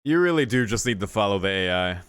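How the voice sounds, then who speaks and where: A man's voice: fairly low pitch, lively intonation, fast, a bit bright, clear, resonant tone, dry recording. A man doing casual gameplay commentary as a video host, reacting with exclamations and mock exasperation.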